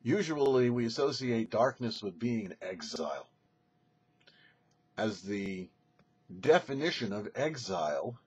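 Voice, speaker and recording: Husky, expressive male rabbi teaching. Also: medium pitch. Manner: didactic, poses questions then answers them. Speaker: rabbi hosting a weekly Torah show. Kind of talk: scripted Torah commentary.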